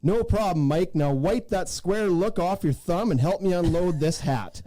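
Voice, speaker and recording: A man's voice: sits high, moderate pace, warm tone, no echo. A man hosting a casual podcast, reading silly stories aloud in a jokey way and prone to laughing through his sentences. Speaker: podcast host.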